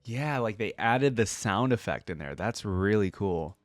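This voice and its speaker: A man's voice: fairly low pitch, lively intonation, a little slow, dry recording. A man giving casual, informal reaction commentary on a video game, talking directly to viewers.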